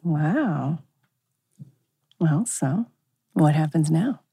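A woman plays a cool, teasing young girlfriend in a teen drama. Her voice is husky and on the low side, with animated pitch and a dry, close sound. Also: extremely slow, no room sound, exaggerated voice. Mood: welcoming. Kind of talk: table read acting.

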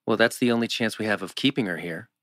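Man playing an earnest teen boy, bright low voice. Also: fast, dry recording, clean studio sound, natural voice. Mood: earnest, firm. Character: earnest, laconic, protective teenage boy. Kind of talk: TV drama script table read.

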